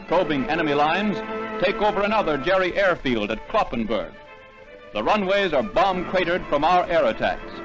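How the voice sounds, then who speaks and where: A man's voice: fairly high pitch, dull audio. A man reading scripted 1940s newsreel narration in a formal announcer delivery, on a vintage soundtrack.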